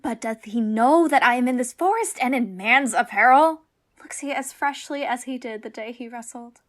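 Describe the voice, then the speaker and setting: A woman acting a witty, lovestruck young noblewoman in disguise in a Shakespearean radio play, theatrical and quick-witted. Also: on the high side, lightly acted. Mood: flustered excitement.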